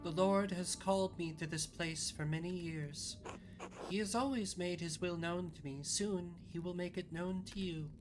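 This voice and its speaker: A performer voicing a devout visionary nun, a gentle, bewildered old woman who speaks in a solemn, reverent, measured way over music. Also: medium pitch, fairly even intonation, natural voice, poor audio. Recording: music under the voice.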